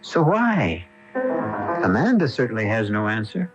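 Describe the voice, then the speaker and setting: A man hosting a radio mystery with theatrical narration, musing ominously with dramatic pauses. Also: fairly low pitch, huge pitch swings, soft background music. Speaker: host. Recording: dull lo-fi radio broadcast.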